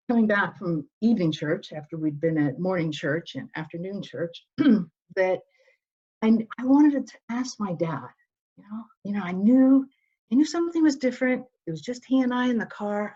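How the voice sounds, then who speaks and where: A woman's voice: fairly low pitch, darkish tone. Earnest storytelling woman, muffled sound. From Northern Ireland. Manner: earnest, storytelling, many restarts. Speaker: guest. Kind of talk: conversational interview. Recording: dull, lo-fi.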